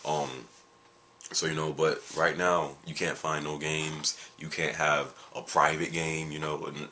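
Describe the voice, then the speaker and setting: A tired man with a very deep voice, chatting casually in a video and rambling with jokes, fillers and restarts. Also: bright, forceful tone, a little hiss or hum. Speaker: gaming video creator. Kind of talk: casual vlog chat.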